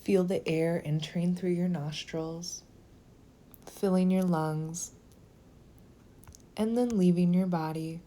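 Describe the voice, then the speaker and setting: Low, mellow woman guiding a meditation. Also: fairly even intonation. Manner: soothing, slow, gentle, with breathy near-whispered phrase ends. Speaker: guided meditation teacher. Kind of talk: guided meditation narration.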